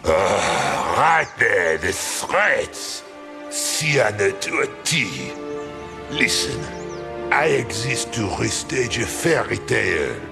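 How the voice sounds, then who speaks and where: Gravelly, cranky big bad wolf. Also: male voice, lowish voice, big pitch swings, drawn-out words, music in the background, heavily acted. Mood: bitter, resigned. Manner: grumbling, theatrical, swoops between mutter and shout. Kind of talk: video game voice acting.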